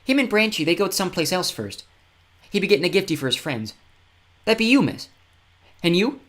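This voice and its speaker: A man's voice: sits high, big pitch swings, brisk, fairly bright tone, pitched above the performer's own voice. A man voicing a grubby, cheeky young boy from the fen who talks in broken dialect grammar. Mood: eager.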